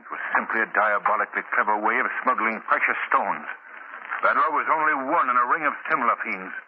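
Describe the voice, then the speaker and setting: An actor playing a resolute, commanding sleuth hero in a 1930s radio drama, with crisp, dramatic delivery. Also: male voice, on the low side, quick pace. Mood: grave, explaining. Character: commanding heroic amateur sleuth, cool and resolute. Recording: muffled vintage 1930s radio recording, organ music.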